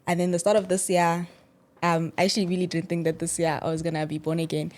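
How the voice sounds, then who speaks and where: A woman's voice: on the low side, level tone, fast. A woman, chatting casually and expressively as a guest and acting out quoted remarks. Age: early 20s. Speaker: interview guest. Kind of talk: casual chat, personal storytelling.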